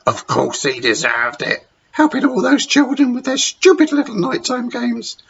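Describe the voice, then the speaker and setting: A man's voice: extremely high pitch, wildly swooping pitch, normal speed, creaky, fairly bright tone, slightly rough, voice pushed up, exaggerated voice. A man voicing a cruel, scornful female hospital matron with a sneering manner. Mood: gloating. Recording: dull lo-fi recording.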